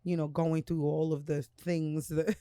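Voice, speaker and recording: A woman, talking candidly in a slow drawl on a podcast. Her voice sits a little low, and the sound is close and dry. She laughs easily between thoughts. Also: little pitch movement, warm tone, clean tone, no echo. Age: 30s. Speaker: podcast co-host. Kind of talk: casual chat.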